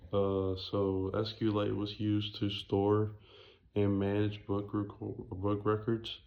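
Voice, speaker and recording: Young man, raspy low monotone, hesitant presenter. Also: lo-fi sound. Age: young adult. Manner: hesitant, halting, self-correcting. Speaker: student presenter. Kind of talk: informal project presentation.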